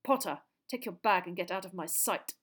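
Sex: man. Figure: cold, contemptuous schoolmaster as a woman narrator reads him. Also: fairly bright tone. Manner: cold, low, slow and clipped.

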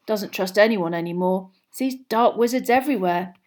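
A woman's voice: medium pitch, moderate pace, a little mellow, lightly acted. A woman voicing an easygoing young man, explaining things casually. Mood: matter-of-fact.

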